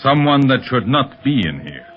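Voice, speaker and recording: An actor playing a cold criminal mastermind in a 1930s radio serial, imperious, threatening and measured in his speech. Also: medium pitch, sing-song, breathy edge, soft background music. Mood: alarmed suspicion. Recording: dull vintage 1930s radio recording.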